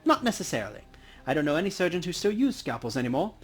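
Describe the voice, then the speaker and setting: A wry dwarf coroner, played by a man, pitched high with a lively rise and fall. He explains things precisely and matter-of-factly, as game dialogue. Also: fast, breathy edge, faint music behind. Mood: explanatory. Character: dry, principled dwarf coroner, sharp and learned. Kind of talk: hobby voice acting for a game's dialogue.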